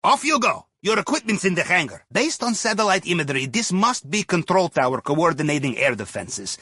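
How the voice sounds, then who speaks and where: A genial Russian ex-navy submarine captain handling a heist in a video game. His accented voice is fairly high and husky, recorded dry and close. He gives instructions in a wry, conspiratorial way, often tagging them with a question. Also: pushed and bright, dry recording, full character voice. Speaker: mission handler. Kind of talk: video game mission dialogue over comms.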